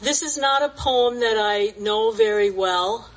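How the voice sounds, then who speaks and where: A woman in her seventies at an outdoor church gathering, sharing a reflection and reading a poem in a gentle, measured way. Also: a little high, lively intonation, creaky, rough recording. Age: 70s.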